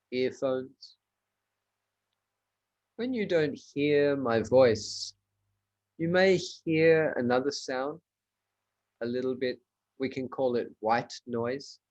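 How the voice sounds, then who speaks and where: A man leading a guided meditation as a teacher, giving measured instructions in short, spaced phrases. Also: mid-range voice, a little mellow.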